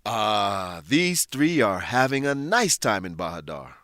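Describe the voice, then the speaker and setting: A man's voice: fairly low pitch, big pitch swings, measured pace, pushed and bright, dry recording, clean studio sound. A man narrating a radio drama and teaching vocabulary in a scripted, explanatory way, speaking directly to listeners. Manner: clear, explanatory, addressing listeners.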